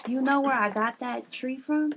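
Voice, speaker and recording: A woman plays a brash friend who loves to party and tease. Her voice is fairly high with a heavy creak, tossing out slangy banter. Clear noise runs through the recording. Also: normal speed, fairly bright tone. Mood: teasing. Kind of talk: script table read acting.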